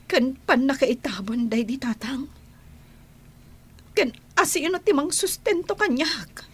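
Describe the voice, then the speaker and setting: An actress playing a defiant, bitter woman in a radio drama, with a husky voice and a Filipino accent. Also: sits high. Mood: defensive. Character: defiant woman confessing to an affair, bitter and unapologetic.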